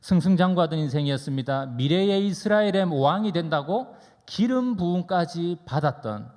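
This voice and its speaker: A man preaching as a pastor in a conversational, anecdotal sermon style, deliberate and pausing between phrases. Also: fairly high pitch, expressive, a little mellow. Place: church sanctuary during a service. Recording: reverberant hall, long echo.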